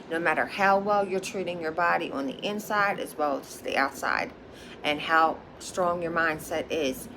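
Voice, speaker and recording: Low-voiced Philadelphia woman, emphatic. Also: noisy background. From Philadelphia, United States. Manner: emphatic, repeats points, frequent "okay?". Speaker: high priestess and psychic. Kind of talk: casual vlog monologue.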